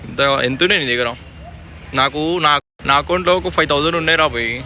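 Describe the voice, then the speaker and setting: Young male vlogger, Telangana accent, very bright forceful delivery, informal chat, faint street noise behind. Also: a little high, fairly quick. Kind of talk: casual chat to camera and on a phone call. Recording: faint outdoor traffic noise.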